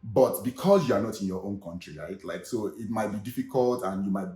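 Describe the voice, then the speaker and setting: A man's voice: lowish voice, lively intonation, brisk, mellow, echoey room. A young man with a Nigerian Yoruba accent hosting a casual comedic vlog, chatty and full of fillers like "you know". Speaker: vlog host.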